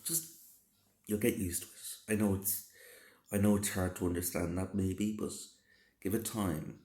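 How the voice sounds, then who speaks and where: A man with a rough, hoarse voice from a cold, giving casual, reassuring advice and often repeating and rephrasing himself. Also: deep voice, fairly even intonation, measured pace, warm tone. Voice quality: gravelly, slightly rough. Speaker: vlogger answering a subscriber. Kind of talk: casual advice talk to camera. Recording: roomy, echoey sound.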